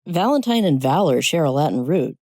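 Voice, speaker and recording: An earnest, caring woman who styles eyewear, played by a story narrator. Her lines are mellow and dry, as dialogue in a short story. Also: medium pitch, big pitch swings, fairly quick, no room sound. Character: earnest, caring young female eyewear stylist.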